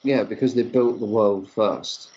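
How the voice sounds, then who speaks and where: A young man gushes over his favourite topic, tripping over restarts as his enthusiasm runs ahead of his words. His voice is husky, heard through a dull, lo-fi mic. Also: lowish voice, unhurried, a little mellow. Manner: enthusiastic, rambling, stumbling restarts. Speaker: podcast co-host. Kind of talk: casual chat between fans.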